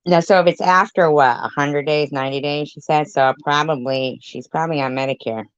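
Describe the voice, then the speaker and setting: A woman's voice: sits low, fairly even intonation, clear, resonant tone, no echo. A woman giving blunt, sarcastic reaction commentary on old video clips. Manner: blunt, sarcastic, drawn-out remarks. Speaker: commentary YouTuber. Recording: dry, muffled lo-fi sound.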